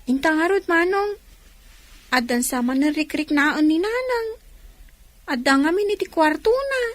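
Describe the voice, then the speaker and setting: A woman's voice: high-pitched, expressive, a little slow, dry recording. An actress playing a dutiful young schoolgirl with a plaintive, childlike manner and an Ilocano accent in a radio drama.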